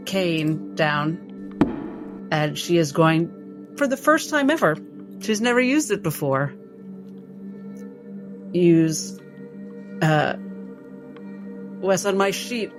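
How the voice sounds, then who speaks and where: A woman playing a tabletop game, narrating her character's actions in a halting, dramatic way. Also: sits low. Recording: music bed under the voice.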